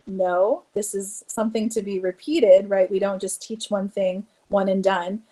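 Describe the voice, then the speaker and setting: A woman's voice: mid-range voice, warm tone, rough recording. A woman giving an online teacher training presentation on classroom activities, speaking casually with frequent fillers.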